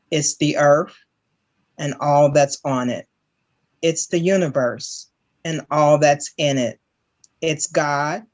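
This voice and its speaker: A reader recites slowly and evenly, leaving space between short phrases. The pitch sits in a middle range. The recording is dull and lo-fi, with the top end muffled. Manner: slow, measured recitation. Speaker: service reader. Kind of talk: reading aloud.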